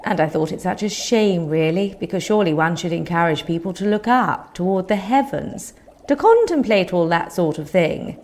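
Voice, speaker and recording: A woman's voice: on the low side, lively intonation, moderate pace, a little mellow, natural voice. An actress playing an earnest, modest, eccentric medieval woman who muses on her ideas in a comic audio drama. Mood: eager, musing. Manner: earnest, musing.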